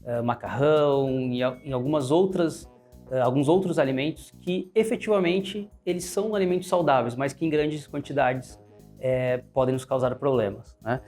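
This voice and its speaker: A man with a Brazilian Portuguese accent hosting an interview, often filling pauses with "né" and "é". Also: medium pitch, darkish tone, faint music behind. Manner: frequent "né" and "é" fillers. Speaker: host, pharmacy advertising manager.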